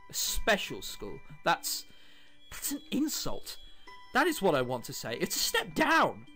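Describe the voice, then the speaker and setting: Man voicing a bitter teenage boy, very animated. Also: a little high, unhurried, faint music behind, exaggerated voice. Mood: indignant. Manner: indignant, brooding, sardonic. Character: bitter, sarcastic teenage boy with a heart condition. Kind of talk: dramatic visual novel reading.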